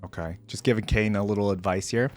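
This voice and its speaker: A man's voice: on the low side, fairly even intonation, fast, a little mellow, soft background music. A man hosting a reaction video, giving casual, slangy, off-the-cuff commentary. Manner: informal, slangy.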